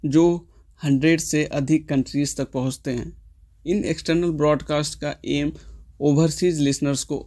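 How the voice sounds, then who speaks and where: A man's voice: medium pitch, dark tone. A man reading a scripted explainer as a host, in an informational way, mixing English terms into his speech. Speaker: host of a tech history explainer channel.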